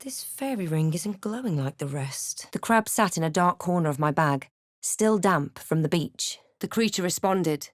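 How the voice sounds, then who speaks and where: A woman voicing a wary, composed adventurer heroine for a fantasy video game, with measured, dramatic delivery. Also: fairly low pitch, expressive, dry recording, studio quality, lightly acted. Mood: wry, measured.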